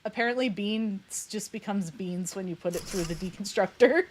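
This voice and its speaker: A woman's voice: mid-range voice, normal speed. A young woman, chatting bluntly and opinionatedly while playing a game. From Canada. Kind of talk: casual gaming chat.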